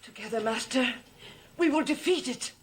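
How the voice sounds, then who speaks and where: A woman's voice: high voice, moderate pace, close to an everyday voice. Woman playing a melodramatic, scheming ancient servant of darkness. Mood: desperate pleading. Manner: melodramatic, imperious, formal phrasing. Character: scheming centuries-old female servant of dark powers, pleading and menacing. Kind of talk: 1960s gothic soap opera acting.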